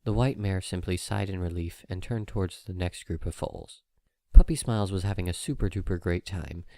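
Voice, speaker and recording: A man's voice: low-pitched, moderate pace, dark tone, no room sound, clean studio sound. A man reading a story aloud as an audiobook narrator, in scripted storytelling style.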